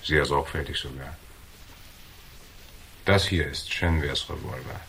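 A tough noir investigator in a radio crime drama, with an extremely deep voice. He is cool and defiant, delivering dry, laconic taunts. The recording carries clear hiss. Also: a little slow, a bit bright, heavily acted, low-quality recording. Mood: cool, taunting.

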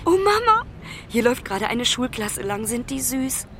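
A grown daughter in a radio play, talking on the phone to her mother. Her voice is fairly high and natural, and her sentences are halting, often left unfinished. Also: big pitch swings, a bit bright, slight background noise. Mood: tender, delighted. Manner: halting, conversational, breaking off mid-sentence. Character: travelling daughter, a musician, anxiously phoning her mother. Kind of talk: naturalistic German radio drama acting.

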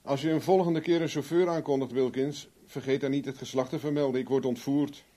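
An actor playing a flippant, flirtatious private investigator in a radio drama, with wry, teasing banter. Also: male voice, mid-range voice, fairly even intonation, brisk, mellow, a little hiss or hum, lightly acted. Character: flippant, flirtatious male private investigator, world-weary charmer.

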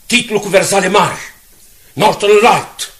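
A man's voice: high voice, fairly bright tone. A man playing a determined newspaper director under pressure in a radio drama, speaking in a commanding, emphatic way. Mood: determined, rousing. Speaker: actor.

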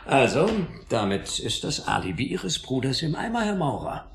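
Sardonic, lively male police inspector. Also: mid-range voice, moderate pace. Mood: sceptical, probing. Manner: mocking, probing. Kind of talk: German radio drama acting.

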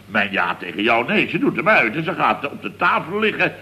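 An actor playing a flustered, indignant middle-aged building boss, telling things in a long-winded, exasperated way in a comic radio serial. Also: male voice, medium pitch, sing-song, brisk, sharp tone. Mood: exasperated storytelling. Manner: long-winded, exasperated storytelling peppered with verbal tags. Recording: old radio recording with faint noise.

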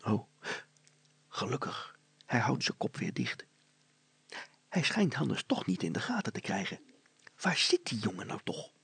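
A cautious, worried man from a children's storybook, as a male narrator plays him. He mutters hesitantly in a very creaky voice, recorded dry and close. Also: fairly low pitch, sing-song, a little slow, pauses often, a bit bright, no echo, exaggerated voice. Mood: anxious, hushed. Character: Brilstra, worried, hesitant man searching for his boy.

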